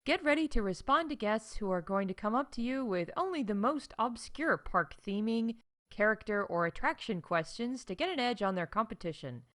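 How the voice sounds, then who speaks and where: A woman's voice: a little high, lively intonation, moderate pace, a bit bright. A woman playing a chatty, wry theme park staff announcer in a scripted comedy podcast, emphatic and full of conversational asides. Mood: brisk, wry.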